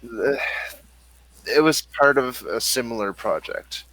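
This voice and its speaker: A man as game master, narrating a tabletop game in a relaxed, hesitant way. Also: medium pitch, takes it slowly, rough recording.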